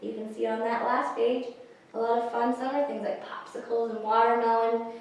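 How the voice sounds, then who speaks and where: A woman reading picture books aloud to young children, pausing to explain the pictures and ask questions. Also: on the high side, little pitch movement, a little mellow. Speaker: children's librarian, story time host. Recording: echoey, distant microphone, faint hiss.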